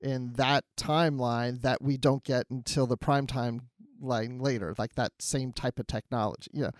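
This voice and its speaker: A man's voice: mid-range voice, fairly even intonation, moderate pace, no echo, clean studio sound. A man co-hosting a podcast, talking casually and conversationally through a review. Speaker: podcast co-host.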